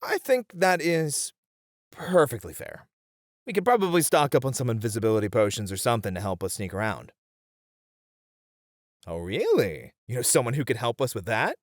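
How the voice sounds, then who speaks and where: A man's voice: medium pitch, very animated, moderate pace, pauses often, no room sound, studio quality, natural voice. A young man playing a confident, snarky warrior in a fantasy roleplay audio drama, reasoning and teasing a rival dryly. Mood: agreeable, planning.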